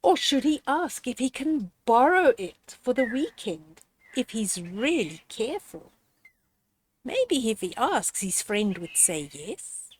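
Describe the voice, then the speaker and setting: Slow, animated woman reading to children. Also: on the high side, slight background noise. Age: older. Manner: expressive, with deliberate pauses and dramatic gasps; gently instructive, addressing a child listener. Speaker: storyteller reading children's books aloud. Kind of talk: children's read-aloud storytelling.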